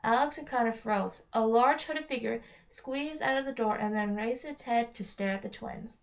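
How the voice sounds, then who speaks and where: A woman's voice: a little high, moderate pace. A woman narrating a children's story aloud, reading from the text with an occasional stumble. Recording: dull lo-fi home recording.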